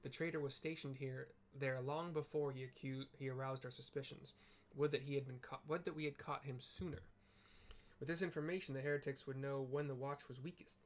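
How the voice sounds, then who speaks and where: A stern noble commander of knights in a fantasy game speaks with grave formality, as a man reads his lines aloud. The voice sits at an ordinary middle pitch, with no great disguise. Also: steady tone, brisk, natural voice.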